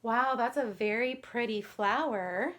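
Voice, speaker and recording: A woman's voice: on the high side, a little slow, a bit bright. A grown woman hosting a children's puppet show, speaking to little kids and chatting with the puppets. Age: adult parent. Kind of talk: addressing young children, scripted puppet dialogue.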